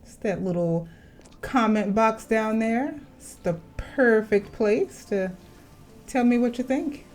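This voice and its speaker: A woman's voice: on the high side, takes it slowly, darkish tone. A woman casually talking through a video game as she plays, chatty and playful. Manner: chatty, playful, reads game text aloud. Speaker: gaming video host. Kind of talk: casual gameplay commentary. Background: faint game music.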